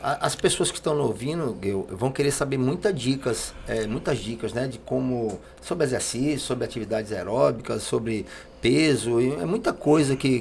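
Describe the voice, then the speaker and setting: Gravelly man hosting, halting delivery. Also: medium pitch, slight background noise. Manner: halting, repeats words as he forms questions. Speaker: podcast host. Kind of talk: casual interviewing.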